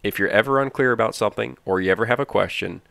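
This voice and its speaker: A man's voice: lowish voice, quick pace, vocal fry, fairly bright tone, dry recording. A man giving scripted, explanatory narration for a training video. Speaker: narrator of a flight training promo.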